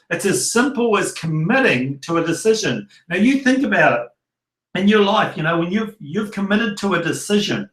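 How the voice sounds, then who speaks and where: Middle-aged Kiwi-accented male coach, rambling delivery with restarts, slightly high voice, roomy sound. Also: a bit bright. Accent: New Zealand. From New Zealand. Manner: loose and rambling, with many restarts and fillers. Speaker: prosperity coach. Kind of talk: online masterclass talk.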